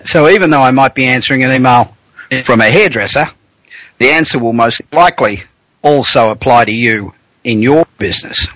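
A man's voice: medium pitch, moderate pace, fairly bright tone, no echo, poor audio. A man with an Australian accent hosting a business talk radio show, blunt and joking, chatting with fillers.

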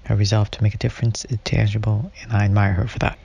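A man from California reading a prepared film response in a flat, deliberate way. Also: lowish voice, gravelly, highs cut off. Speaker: student guest.

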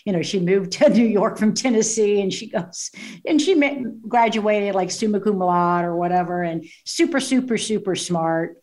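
Woman talk-show host, chatty and rambling, mid-pitched voice. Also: moderate pace, a little mellow. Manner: chatty, laughs often, trails into asides. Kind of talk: casual interview chat.

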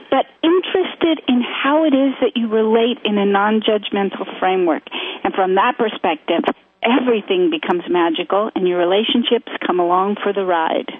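A woman co-hosting a call-in radio show, speaking earnestly and encouragingly to listeners and callers. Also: a little high. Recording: tinny phone-line sound.

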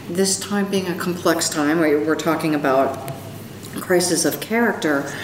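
A woman hosting an interview, delivering a polished introduction and then asking questions. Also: fairly low pitch. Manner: polished, scripted-sounding introduction, then brief affirmations. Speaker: interview host. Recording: roomy, distant, reverberant sound with faint noise.

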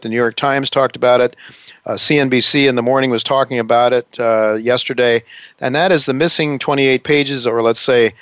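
A man hosting a talk radio show, speaking in a rambling, halting way with many fillers and restarts. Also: medium pitch, fairly bright tone, no echo.

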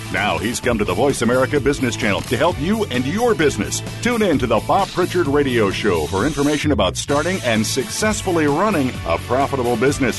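Animated male promo voice. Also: medium pitch. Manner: punchy announcer delivery. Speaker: network promo announcer. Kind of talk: scripted promo read. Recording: promo over clear music.

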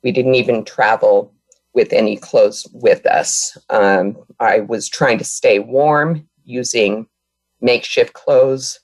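A woman who grew up in Brazil, with Portuguese as her first language, tells her own story as a guest on a talk show. She speaks earnestly and reflectively, pausing as she recalls each part. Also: a bass voice, no room sound. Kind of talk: telling her life story in an interview.

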